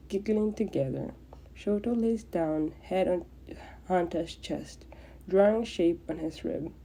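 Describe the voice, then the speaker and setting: A woman's voice: lowish voice, mellow, low-quality recording. A woman narrating a piece of romantic fan fiction as a podfic reader.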